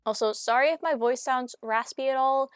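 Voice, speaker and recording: A young woman from Canada talking through casual commentary, chatty and self-deprecating with quick asides, her voice a bit nasal from a cold. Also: high-pitched, clean tone, no echo, soft background music. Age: young adult. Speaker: commentary YouTuber.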